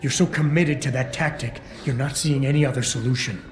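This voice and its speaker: An actor playing a stoic, unflappable security operative in a video game, with terse, deadpan delivery. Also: male voice, mid-range voice, brisk, background noise, close to an everyday voice. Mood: firm, reasoning. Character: stoic, hard-boiled male security operative, dry and unflappable. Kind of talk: dramatic video game acting. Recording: roomy echo, loud music underneath.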